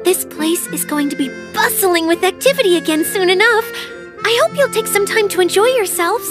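High-voiced, wistful water spirit. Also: female voice, expressive, moderate pace. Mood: warmly sincere. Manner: soft-hearted, reflective, fond. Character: gentle, wistful female water spirit, kindly and playful. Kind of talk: acted video game dialogue. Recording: music behind the voice.

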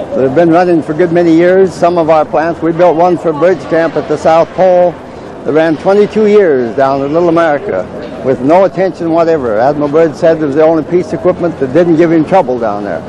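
An older man who builds wind turbines, calmly explaining how they work to people at an outdoor fair, with plenty of uh's. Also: on the high side. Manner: plain, matter-of-fact explaining with frequent uh. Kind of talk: explaining to fairgoers. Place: outdoor fairground. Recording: noisy outdoor camcorder audio.

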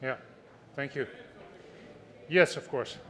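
A man moderating a seminar panel in a large hall on a handheld mic, brisk and affirming. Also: medium pitch, pushed and bright. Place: large indoor event hall.

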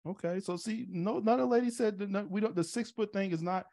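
A man's voice: high-pitched, fast talker, warm tone, dry recording, clean studio sound. A man in his thirties hosting an online meetup from a room at home, talking in a casual, easygoing way with restarts and uhs. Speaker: host.

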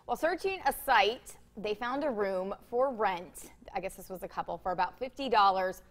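A woman's voice: medium pitch, expressive, moderate pace. Chatty American woman hosting a talk show. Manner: chatty, jumps between half-finished thoughts. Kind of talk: casual co-host banter and topic reading. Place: TV studio.

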